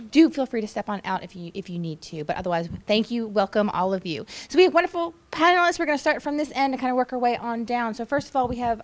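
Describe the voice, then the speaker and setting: A woman introduces panelists at a college event, chatty and casual, with friendly asides. Her voice sits fairly high. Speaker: panel moderator. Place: warm college room.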